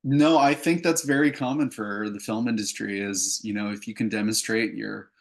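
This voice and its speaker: A man's voice: on the low side, fairly even intonation, moderate pace, creaky. A Canadian man answering interview questions casually, as a filmmaker, in an earnest, reflective way. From Calgary, Canada. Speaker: filmmaker and actor, interview guest.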